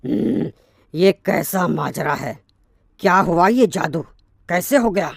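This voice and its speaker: Slow, husky puzzled donkey, voiced by a man. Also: high voice, expressive, dry recording, clean studio sound, full character voice. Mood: puzzled wonder. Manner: slow, wondering. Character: puzzled male donkey musing aloud.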